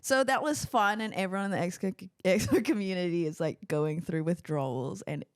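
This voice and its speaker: A woman's voice: on the low side, brisk, smooth, no room sound, clean studio sound. A young woman co-hosting a podcast, telling stories in casual, bubbly chat. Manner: chatty, bubbly storyteller with laughs. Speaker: podcast co-host.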